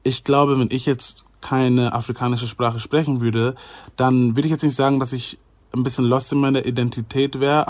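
A young man who makes YouTube videos, speaking earnestly and with emphasis in a casual group discussion. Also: mid-range voice. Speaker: YouTuber guest.

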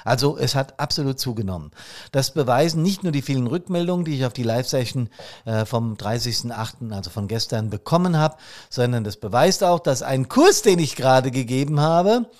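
A man's voice: mid-range voice, animated, brisk. A man, hosting a podcast in a casual, friendly way with frequent fillers. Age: 60s. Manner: chatty, warm-hearted, with frequent fillers. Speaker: podcast host and fire service trainer. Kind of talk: casual podcast talk and live session.

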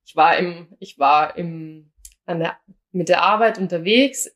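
A woman's voice: lowish voice, measured pace. A young woman from Austria, co-hosting a casual podcast and telling stories with quoted dialogue. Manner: chatty, anecdotal, acts out quoted dialogue. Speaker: podcast co-host.

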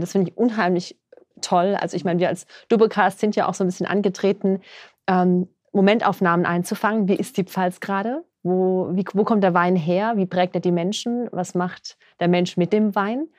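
A woman's voice: mid-range voice, darkish tone, no echo. Chatty woman hosting a podcast. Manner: chatty, laughs easily. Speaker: podcast host. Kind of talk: conversational interview.

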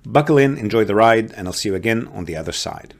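A man's voice: lowish voice, expressive, vocal fry. A man hosting and advising on property investment, talking through loans in an explanatory way with frequent ums and restarts. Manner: explanatory, fills pauses with um and uh, restarts phrases. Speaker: real estate advisor and podcast host. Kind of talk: business call recording, casual advisory.

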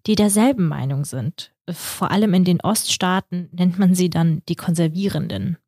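A young woman from Kazakhstan, a native Russian speaker, calmly explaining in a podcast conversation. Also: fairly low pitch, moderate pace, slightly rough, no room sound, studio quality. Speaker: podcast co-host.

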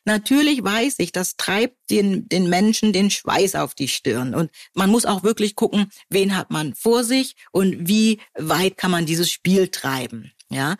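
A woman from Germany co-hosting a podcast, telling stories wryly and quoting people in lively voices. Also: mid-range voice, dry recording, clean studio sound. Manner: wry storytelling, quoting others in lively voices. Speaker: podcast co-host. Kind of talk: casual conversation.

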